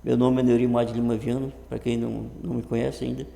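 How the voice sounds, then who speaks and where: In a very echoey, reverberant room, a man in his early fifties speaks with a northern Brazilian accent. His voice is creaky and mellow. He talks casually to a webcam in a halting way, circling back over his words. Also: mid-range voice, little pitch movement. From Brazil, Rondônia. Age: early 50s. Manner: halting, often repeats and restarts words. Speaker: vlogger introducing his channel. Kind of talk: casual talk to camera. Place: improvised untreated home room. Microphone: computer webcam setup.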